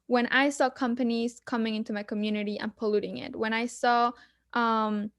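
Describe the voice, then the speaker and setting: A young woman from Mexico, answering as a guest activist in an earnest, measured way with frequent pauses and ums. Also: on the high side, fairly even intonation, no room sound, studio quality. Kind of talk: panel discussion answers.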